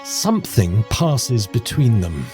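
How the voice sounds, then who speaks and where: A man's voice: mid-range voice, sing-song, takes it slowly. A man narrating an audio drama as a knowing, conspiratorial storyteller. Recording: music bed behind the voice.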